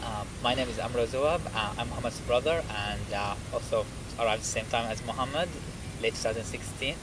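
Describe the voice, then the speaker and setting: A man's voice: medium pitch, a little fast. A young man from Syria, a student, answering interview questions thoughtfully, with some fillers and restarts. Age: young adult. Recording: outdoor traffic noise behind. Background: street traffic.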